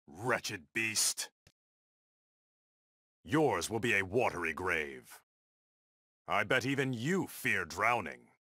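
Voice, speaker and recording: Stern game warrior king, regal, defiant, forceful tone, sweeping pitch. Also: expressive, takes it slowly, pauses often, dry recording, studio quality, exaggerated voice. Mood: cold, menacing. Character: proud, stern warrior king of an undersea realm. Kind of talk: video game battle dialogue.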